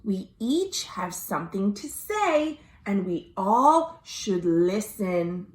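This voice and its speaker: A woman voicing an earnest, patient sloth who makes slow, drawn-out campaign speeches in a children's storybook. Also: medium pitch, big pitch swings, a little mellow, roomy sound. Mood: gracious. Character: earnest, patient sloth running for jungle president.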